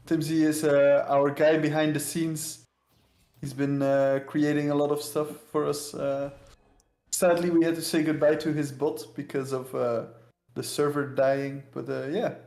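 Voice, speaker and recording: A man's voice: a little high, darkish tone, reverberant. A man casting a live game in a casual play-by-play way, heard through a voice call. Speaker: esports caster. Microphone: new microphone, heard through a voice call.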